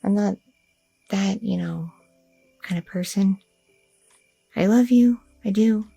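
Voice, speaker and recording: A young woman playing a loving, chatty goth girlfriend in an ASMR roleplay, talking softly and intimately with lots of fillers, close to the mic. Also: medium pitch, slow pace, creaky, husky, no room sound, soft background music, full character voice. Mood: tender, candid. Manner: soft, intimate, rambling with many fillers.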